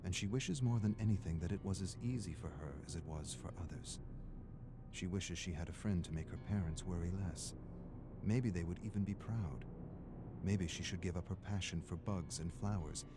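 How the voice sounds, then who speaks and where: Deep-voiced male narrator over prominent music. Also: normal speed. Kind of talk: scripted story narration. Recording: music under the voice. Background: background music.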